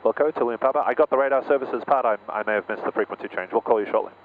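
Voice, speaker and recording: A man speaks quickly as a pilot, in a mid-range voice. The radio is tinny with clear static. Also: mid-range voice. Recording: tinny, noisy aviation radio.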